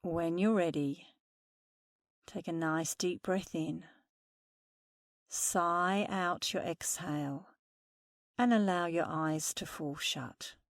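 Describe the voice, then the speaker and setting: A woman meditation instructor speaking softly and soothingly through a guided meditation. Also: lowish voice, no echo, clean studio sound. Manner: slow, soothing, soft-spoken guidance.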